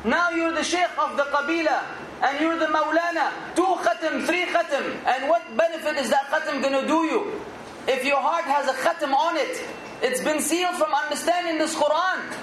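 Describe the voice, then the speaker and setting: A man's voice: very high voice, normal speed, echoey room, noisy background. A man giving an earnest Islamic sermon, exhorting his listeners and now and then rising to emphatic outbursts. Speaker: Islamic preacher.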